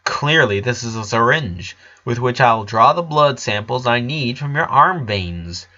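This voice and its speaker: A man's voice: mid-range voice, lively intonation, measured pace, sharp tone, lo-fi sound. A man voicing a precocious four-year-old genius girl with formal, wordy, matter-of-fact speech, in a cartoon fan fiction reading. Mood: clinical, calm.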